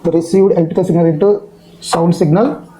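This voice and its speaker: A man teaching in an explanatory lecture style, with a halting, repetitive delivery. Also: sits high, fairly even intonation, dark tone, slight background noise, rough recording.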